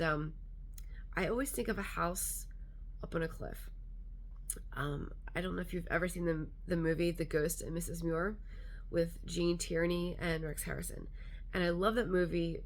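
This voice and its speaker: A woman's voice: lowish voice, fairly even intonation, moderate pace. A woman vlogger chatting casually to camera, congested and a little nasal, rambling with lots of fillers.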